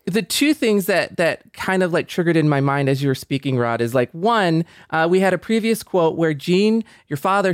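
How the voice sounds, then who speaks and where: Podcast host guy, excited, lots of "like" and "you know", dry mic. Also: fairly high pitch, no room sound. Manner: enthusiastic, many fillers like "like" and "you know". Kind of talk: casual conversation.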